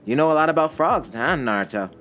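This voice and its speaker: A man voicing a calm teenage girl hero in a story reading, frank and friendly in a matter-of-fact way. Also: fairly high pitch, fast, faint music behind, voice pushed up. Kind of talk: fan fiction story reading.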